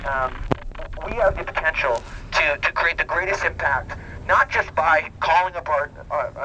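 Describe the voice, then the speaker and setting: A man addressing a walk crowd outdoors through a megaphone, earnest and halting with many ums and restarts. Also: on the high side, pushed and bright, muffled recording. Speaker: band member addressing a charity walk crowd. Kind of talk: outdoor motivational speech. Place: outdoors at a university campus crowd. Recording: shaky handheld camera, faint background noise.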